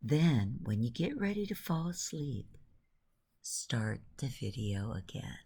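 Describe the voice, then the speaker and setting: Very slow, breathy low-voiced woman telling bedtime stories. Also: big pitch swings. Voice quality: breathy, husky, often near whisper. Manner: hushed, soothing, slow with long pauses. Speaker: bedtime story narrator. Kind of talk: guided imagery bedtime storytelling.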